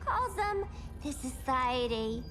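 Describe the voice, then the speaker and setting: An actress playing a zany, cheeky reformed villainess in a video game, cutesy and slangy. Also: female voice, high voice, very animated, takes it slowly, a bit bright, in a large hall, faint music behind, heavily acted. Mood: playful. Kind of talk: video game cutscene acting.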